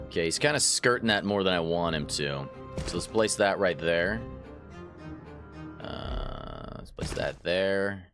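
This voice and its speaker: A man's voice: sits low, expressive, a little slow. A man hosting a let's play, casually chatting to viewers with a slightly stuffy nose. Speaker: gaming channel host. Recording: faint game music behind.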